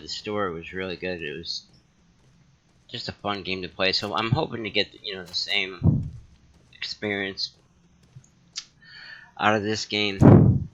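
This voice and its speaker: A man gives casual video game commentary in a low, creaky voice with a forceful, bright edge. He thinks aloud at an unhurried pace, on a slightly dull recording. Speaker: gaming channel host. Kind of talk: casual let's play commentary.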